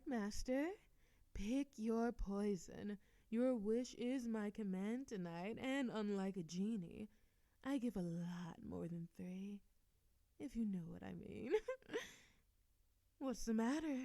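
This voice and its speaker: A woman's voice: medium pitch, slow pace, warm tone, no echo, studio quality. A woman voicing a playful, seductive immortal succubus demon princess in an audio roleplay, teasing and giggly with a sultry drawl. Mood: boastful, flirty. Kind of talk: scripted audio roleplay acting.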